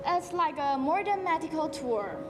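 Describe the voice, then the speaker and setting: A woman's voice: high voice, animated, moderate pace. A teen girl as an earnest young doctor. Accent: Taiwanese. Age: teens. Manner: earnest, explanatory. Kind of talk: school stage play. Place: large school auditorium stage. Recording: echoey hall with music behind.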